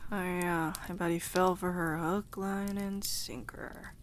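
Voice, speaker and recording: A woman's voice: on the low side, fairly even intonation, slow, slight background noise. A woman playing a sardonic, irreverent commentator in a fantasy drama, dry and casual in delivery. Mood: wry. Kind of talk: conversational voice acting in fantasy drama.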